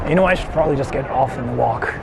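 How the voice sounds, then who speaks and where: A man's voice: mid-range voice, fast, vocal fry. A man livestreaming casually while riding through a city, rambling with lots of fillers and switching between English and Japanese. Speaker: IRL livestreamer. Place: outdoors on city streets. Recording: noisy outdoor street stream.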